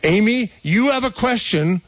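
Male local radio host, bit high voice, hesitant, muffled lo-fi sound. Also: lively intonation, unhurried, dry recording. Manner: hesitant, many fillers. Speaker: radio talk show host. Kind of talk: radio interview.

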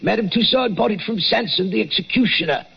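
A man's voice: high voice, vocal fry, husky, full character voice. A nervous old watchman. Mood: eerie. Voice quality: hesitant, halting, eerie. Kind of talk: 1940s radio acting. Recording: dull old radio recording with music.

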